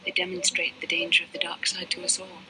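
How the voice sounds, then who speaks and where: A woman's voice: lowish voice, completely flat delivery, quick pace, pushed and bright, a little hiss or hum. A woman playing a proud, earnest young Jedi with guarded feelings in a video game, speaking in a formal, lecturing way. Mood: grave, measured. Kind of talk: dramatic video game dialogue acting.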